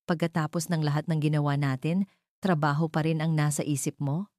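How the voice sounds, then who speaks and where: A woman's voice: low-pitched, fairly even intonation, a little fast, mellow, dry recording, studio quality, lightly acted. A woman voicing an insecure, demanding young mistress in a romance drama, pleading and indignant. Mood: hurt, frustrated.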